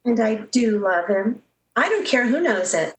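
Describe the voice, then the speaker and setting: A woman acts out a young switchboard operator in love, from a 1930s radio drama. Her voice is fairly high and swoops expressively. She is dreamy, earnest and gushingly romantic. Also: big pitch swings, unhurried, low-quality recording. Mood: dreamy, excited.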